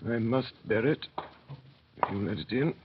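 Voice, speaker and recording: An actor playing a bewildered, anguished old prisoner in a mid-century radio drama, halting and dazed, repeating himself. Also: male voice, on the low side, noisy background, close to an everyday voice. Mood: dazed, feeble. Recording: muffled old radio broadcast.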